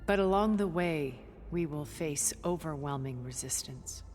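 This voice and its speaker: Poised older strategist lady for a game, low and measured, music behind. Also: a little mellow. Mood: cool, measured. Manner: measured, formal, composed. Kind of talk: video game cutscene acting.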